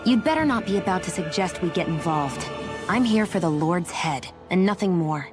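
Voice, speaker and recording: A woman playing a cold, sharp-tongued, single-minded young woman in a video game cutscene, curt and scornful. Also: on the low side, animated, normal speed, over music, natural voice. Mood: curt, exasperated.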